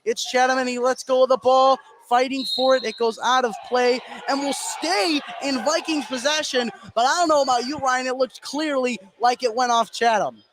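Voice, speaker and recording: A man doing live play-by-play radio commentary of a high school basketball game, with excitable delivery. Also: normal speed, few pauses. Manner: excitable, voice swinging up on big plays. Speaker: play-by-play sports announcer. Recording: clearly noisy live gym broadcast. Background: gymnasium game noise.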